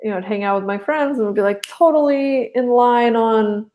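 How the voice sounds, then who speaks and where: A woman's voice: a little high, normal speed, a little mellow. Rambling woman from Russia, chatty. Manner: rambling, with many restarts and fillers. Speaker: podcast guest. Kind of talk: casual podcast conversation.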